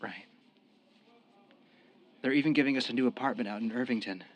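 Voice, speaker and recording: A man's voice: mid-range voice, a little fast, dull audio, natural voice. An actor in a radio drama playing a young former gangster, repentant and devoted to his sweetheart. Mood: earnest. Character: young ex-mob tough, repentant, devoted expectant father.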